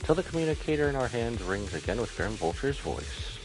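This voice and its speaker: A man's voice: mid-range voice, very animated, brisk, music in the background. A man live-streaming a game, reading its text aloud and dropping in his own asides.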